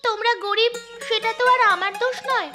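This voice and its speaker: A woman's voice: very high voice, a little fast, music in the background. A woman voicing a whiny, spoiled young village girl who complains petulantly in a fairy-tale cartoon. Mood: sulky, defiant.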